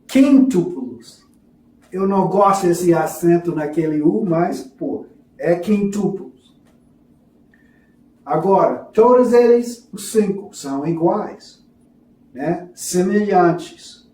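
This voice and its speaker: A man with a non-native, foreign accent teaches a religious lesson and tells a story, speaking haltingly and apologetically. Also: high voice, darkish tone. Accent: foreign, non-native Portuguese. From foreign to Brazil, a self-described gringo. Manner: halting, hesitant in Portuguese, apologetic. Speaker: religious teacher presenting a course. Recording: echoey room, distant from mic.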